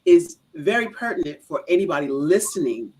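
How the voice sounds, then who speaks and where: American woman hosting, medium-low conversational voice. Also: very animated, moderate pace, darkish tone, husky. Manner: affirming, repeats phrases for emphasis. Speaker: talk show host. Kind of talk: casual conversational interview.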